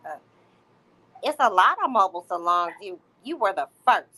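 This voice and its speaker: A woman's voice: medium pitch, big pitch swings, moderate pace, pushed and bright. A woman hosting a livestream, reading messages aloud and chatting casually in commentary. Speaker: livestream host.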